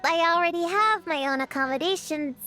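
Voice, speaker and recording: A woman voicing a spirited young girl adventurer in a fantasy video game, childlike and expressive. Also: very high voice, brisk, over music. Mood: casual, hesitant. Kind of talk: animated video game voice acting.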